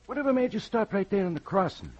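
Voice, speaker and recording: A man's voice: high voice, animated, fast talker. An actor playing a fussy, folksy American railroad watchman in an old-time radio drama, plain-spoken and querulous. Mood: concerned, flustered. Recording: dull, muffled old radio recording.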